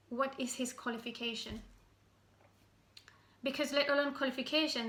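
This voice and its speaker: Bright-voiced Sri Lankan woman, echoey room. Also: a little high. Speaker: citizen activist giving an open message. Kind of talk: direct spoken address to camera, semi-prepared.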